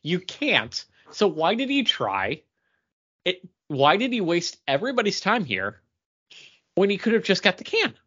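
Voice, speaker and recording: A man's voice: high-pitched, wildly swooping pitch, a little slow, a bit bright, husky. A man co-hosting a podcast with blunt, joking banter. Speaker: podcast co-host. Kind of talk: casual chat, banter. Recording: dull, muffled audio.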